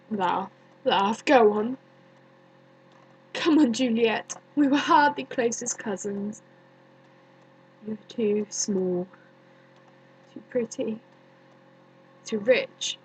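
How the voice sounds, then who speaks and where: Woman acting a slow-spoken, bitter grieving young woman. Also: fairly high pitch, slightly rough, exaggerated voice, poor audio. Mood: bitter, mocking. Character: bitter grieving young woman haunted by her dead cousin. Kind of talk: dramatic stage monologue, amateur performance.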